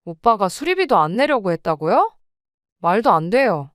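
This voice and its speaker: Devoted adult daughter, played by a woman, earnest and protective, smooth and clear tone, dry close mic. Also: medium pitch, sing-song, moderate pace, no echo, lightly acted. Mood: earnest, worried. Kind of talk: audio drama acting.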